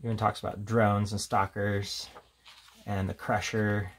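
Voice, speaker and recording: A man's voice: fairly low pitch, little pitch movement, a little slow. A man giving an informal, offhand show-and-tell commentary as a reviewer, casually talking through what is in front of him. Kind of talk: casual hands-on book showcase commentary.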